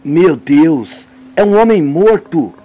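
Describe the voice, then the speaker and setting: A man voicing a shaken young man who takes charge, with urgent, hurried speech, in a homemade radio drama. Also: a little high, lively intonation, mellow, soft background music. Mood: alarmed urgent. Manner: urgent, hurried when giving orders.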